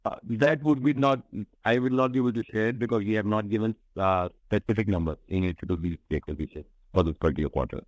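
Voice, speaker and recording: Low-voiced Indian businessman, dry sound. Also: fast, no echo, poor audio. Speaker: company executive answering questions on an earnings call. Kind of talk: business conference call, unscripted answers. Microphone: conference call line, close and dry.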